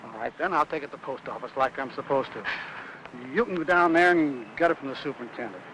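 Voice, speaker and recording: A man's voice: medium pitch, lively intonation, quick pace, background noise, natural voice. A man acting a stubborn, rule-bound, talkative mail carrier in a film noir, grumbling in a folksy, long-winded way on a vintage soundtrack. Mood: firm, impatient. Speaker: film actor.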